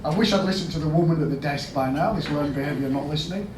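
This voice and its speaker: A man with a Yorkshire accent giving a storytelling conference talk as a health service chief executive, asking the audience questions and rising to loud emphatic bursts. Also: on the high side, fast. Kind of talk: storytelling keynote speech. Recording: echoey hall, mic at a distance, background noise.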